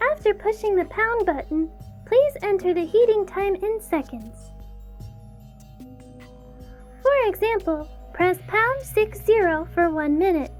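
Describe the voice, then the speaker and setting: A sweet, childlike anime-style teenage girl with an extremely high voice. She sounds cutesy, naive and guileless, with faint music underneath. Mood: sing-song announcing. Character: innocent, sweet, childlike teenage girl, speaks in third person. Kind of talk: visual novel fan dub acting.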